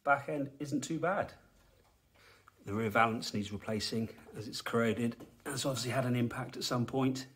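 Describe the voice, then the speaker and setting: A man's voice: mid-range voice, normal speed, a bit bright. Man, forties, from England, dry and matter-of-fact, talking over a handheld camera in a garage. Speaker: car restorer, channel host. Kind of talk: walkaround commentary while filming. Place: indoor garage workshop.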